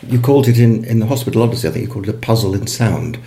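Quick-talking male interviewer. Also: fairly low pitch, mellow. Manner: quick, chatty, frequent murmured agreement. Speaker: interviewer, poet. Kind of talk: conversational interview.

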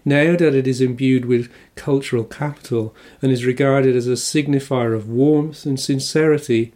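An older man reads his own reflective essay in a steady, measured way. His accent is English, with a faint trace of an Irish R. His voice sits at an ordinary middle pitch for a man. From Wales. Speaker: novelist. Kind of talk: scripted essay reading.

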